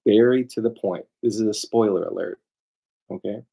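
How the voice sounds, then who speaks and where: Husky, low-voiced man lecturing emphatically. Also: moderate pace, warm tone. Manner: emphatic, digressive, with rhetorical questions. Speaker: lawyer presenting a seminar. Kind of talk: informal lecture to an audience.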